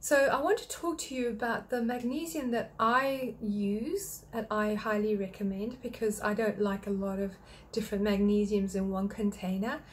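An older woman from Australia who runs a thyroid clinic, talking straight to a webcam in a small home office and calmly listing health points one by one. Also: medium pitch, normal speed, slight background noise. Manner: friendly, explanatory, lists points one by one. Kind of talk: direct-to-camera health talk.

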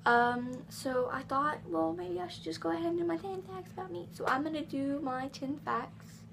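High-voiced chatty teenage girl vlogging. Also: moderate pace, vocal fry, noisy background. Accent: Southern US. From England. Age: teens. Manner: bubbly, chatty, lots of ums. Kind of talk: casual chat to camera. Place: living room at home, indoors. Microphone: device camera on table.

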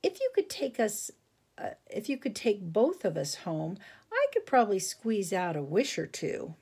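A woman's voice: mid-range voice, wildly swooping pitch, normal speed, darkish tone, husky, exaggerated voice. A woman reading a storybook aloud, voicing a cranky old female fairy who scolds in a stern manner. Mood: bargaining.

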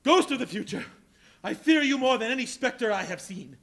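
Older miser on stage played by a man, fearful and earnest, high voice. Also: lively intonation, fairly quick, a bit bright, heavily acted. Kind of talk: live stage play. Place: large hall with audience.

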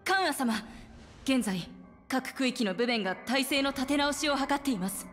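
Actress: young officer, urgent report, higher voice, faint score. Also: female voice, normal speed, lightly acted. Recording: game audio with faint music.

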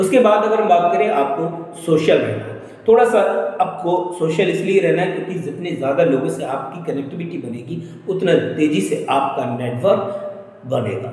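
A man's voice: on the high side, darkish tone. A man with an Indian accent hosting an educational explainer, talking viewers through advice point by point. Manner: instructional, point by point. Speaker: host of an educational career channel. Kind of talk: explainer video voice-over addressed to viewers. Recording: very echoey room with long reverb.

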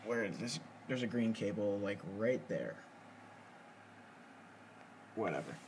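A man's voice: fairly low pitch, sing-song, slow, background noise. A man giving an informal, off-the-cuff walkthrough of his home studio setup, talking casually and with some self-deprecation. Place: dim room at a home computer setup. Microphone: handheld camera or phone mic. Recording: music playing in the background.

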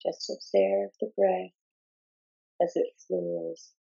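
A woman leading a guided meditation, giving gentle, soothing instructions in short, unhurried phrases with long pauses. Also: fairly low pitch, flat delivery, gravelly, lo-fi sound.

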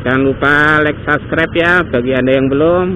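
A man's voice: fairly high pitch, steady tone, normal speed. A man from Indonesia chatting casually as a motovlogger while riding outdoors. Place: outdoors, riding a motorbike on city roads. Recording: dull helmet mic with loud traffic and engine noise. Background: loud engine, wind and passing traffic.

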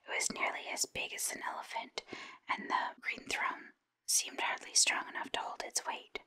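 A woman reading a children's story in a breathy, unvoiced whisper, as scripted ASMR-style narration. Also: moderate pace, sharp tone. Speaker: storybook reader.

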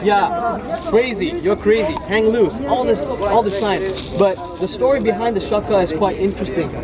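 A man's voice: high voice, moderate pace, a loud crowd. Upbeat young man guiding a tour. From Malaysia. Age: 20s. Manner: upbeat, playful, jokey, keeps calling the group "family". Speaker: tour guide. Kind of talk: guided tour talk. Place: outdoor cultural park with food trucks. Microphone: camera a few steps away.

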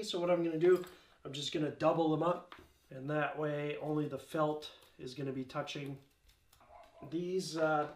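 Casual middle-aged man, a bit high-voiced, talking through a garage build; roomy echo, faint noise, tools clinking behind. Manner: chatty, offhand, with small jokes and asides. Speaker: DIY home gym channel host. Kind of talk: casual how-to vlog. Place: cluttered garage home gym, indoors. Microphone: camera-mounted mic, a few meters off.

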